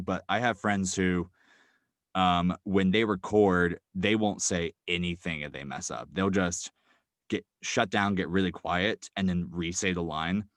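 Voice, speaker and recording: Man, very low voice, hesitant, lots of fillers, dry sound. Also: fairly bright tone, no room sound. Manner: hesitant, many ums and likes. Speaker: podcast guest, audio drama writer. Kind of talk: casual podcast chat.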